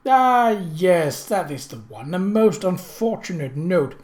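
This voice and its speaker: A narrator voicing an imperious, mocking British prince in an audiobook, pompous and theatrical, with deliberate, drawn-out emphasis. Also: medium pitch, very animated, darkish tone, echoey room, voice pushed up. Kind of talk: audiobook character voice.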